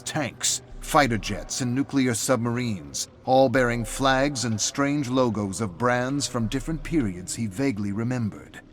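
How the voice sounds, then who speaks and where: A man's voice: fairly low pitch, a bit bright. A man reading scripted story narration in a dramatic style. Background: music.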